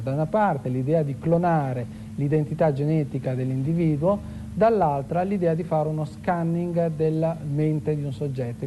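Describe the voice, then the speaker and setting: Male expert, articulate explanatory interview delivery, slightly high voice over clearly noisy ambience. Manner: articulate, lecturing. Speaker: expert commentator on biotechnology.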